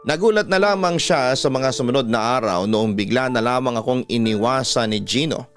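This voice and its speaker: A man's voice: medium pitch, normal speed, faint music behind. A man with a Filipino accent narrating a scripted story in classic radio storytelling style, as host reading a letter.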